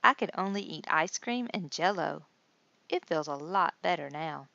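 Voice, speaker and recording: A woman's voice: low voice, expressive, normal speed, vocal fry, pushed and bright, no echo, lo-fi sound, heavily acted. A woman voicing a chatty, reassuring little girl patient in a storybook, frank and childlike.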